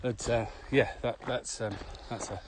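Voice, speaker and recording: A man's voice: mid-range voice, fairly even intonation, a little slow. A man co-hosting a podcast in casual conversation, speaking in an opinionated, emphatic way with repeated phrases. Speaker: podcast co-host. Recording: clearly noisy background with clicks.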